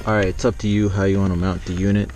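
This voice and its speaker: A man's voice: deep voice, level tone, fast talker, over loud music. A man giving a casual how-to narration as he talks through a hands-on DIY job for a vlog. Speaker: vlogger showing a DIY truck radio install.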